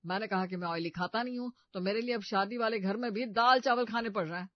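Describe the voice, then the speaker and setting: A woman's voice: mid-range voice, quick pace, dry recording, highs cut off, lightly acted. A woman voicing a whiny, hesitant young son-in-law in an exaggerated Hindi comedy cartoon. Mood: whining, frustrated.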